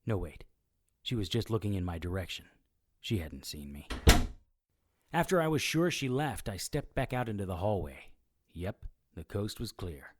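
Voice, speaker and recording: Guy acting as a sarcastic noir detective, wisecracks a lot, very animated pitch, audio drama style. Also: normal speed, pauses often, background noise. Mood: wry, tense. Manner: wry, sarcastic. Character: wisecracking, sardonic immortal male detective. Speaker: lead character and narrator. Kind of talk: first-person noir audio drama narration and dialogue.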